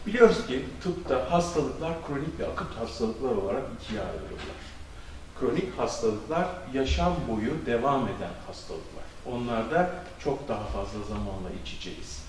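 A man's voice: fairly low pitch, a little hiss or hum. Middle-aged male doctor lecturing at a symposium, handheld mic, heavy hall echo, mic far off. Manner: measured lecturing with frequent hesitation fillers. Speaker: conference speaker, physician. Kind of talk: academic symposium talk. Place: conference hall. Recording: echoey hall, distant camera audio.

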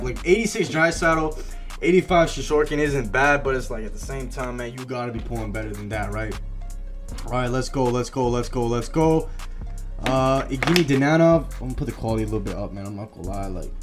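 A young man in his late teens rambling casually over a pack-opening reaction. He uses lots of slang and fillers, and his voice is wearing thin. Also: medium pitch. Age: young adult. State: losing his voice. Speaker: YouTube gaming creator.

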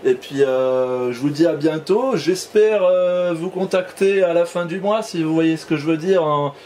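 A man's voice: a little high, a little slow, a little mellow, a little hiss or hum. A man chatting casually as an amateur radio operator, hesitantly, often repeating and trailing off in his phrases. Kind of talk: casual radio contact chat.

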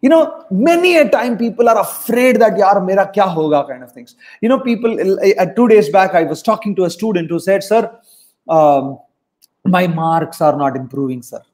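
A man's voice: high-pitched, animated, moderate pace, a little mellow. A middle-aged man with a South Indian accent, coaching students in a motivational webinar, repeating his points for emphasis. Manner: emphatic, repeats lines for effect, mixes in Hindi. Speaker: test prep coach. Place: home room on a video call.

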